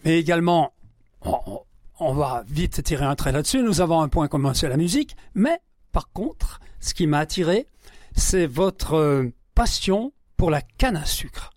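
A man hosting a radio interview, asking short, brisk questions. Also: on the high side, animated, a little mellow, no echo, clean studio sound. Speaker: radio host.

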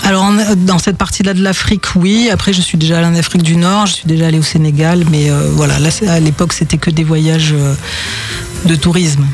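A woman's voice: sits low, steady tone, fairly quick, a little mellow, soft background music. A woman speaking as a radio interview guest in casual, unscripted talk, chatty and a little hesitant.

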